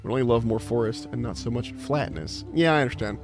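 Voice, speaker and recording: A man's voice: medium pitch, brisk, music in the background. A man from California chatting casually on a live stream, reading chat aloud and laughing often. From Inland Empire, California, USA.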